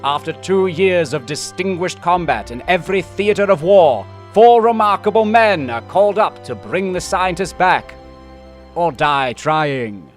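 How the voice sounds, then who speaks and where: Man as a high-pitched dramatic war-story announcer. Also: animated, unhurried, faint music behind. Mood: grave. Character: dramatic announcer introducing a wartime commando tale. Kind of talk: scripted dramatic narration.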